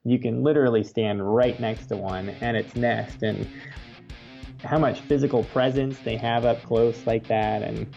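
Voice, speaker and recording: Gravelly American man in an interview. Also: medium pitch, fairly even intonation, a little slow, warm tone, dull audio, soft background music. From United States, Oregon. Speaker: author and birder, interview guest. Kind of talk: conversational interview storytelling.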